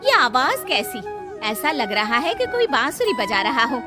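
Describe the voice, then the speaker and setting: A woman's voice: high-pitched, sing-song, a little slow, over loud music. A woman voicing a worried, pleading village mother in a cartoon moral story. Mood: alarmed. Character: anxious village mother searching for her son.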